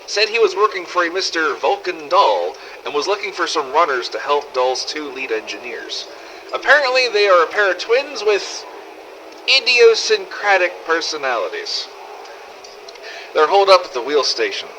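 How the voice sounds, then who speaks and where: A man acts out a sardonic, world-weary runner surviving a zombie apocalypse, recording a game-style audio diary. The voice is slightly high and forceful, with animated swings in pitch and a wry, exasperated edge. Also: moderate pace, a little hiss or hum, rough recording. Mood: matter-of-fact. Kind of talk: in-character audio diary narration, fan-made game audio logs.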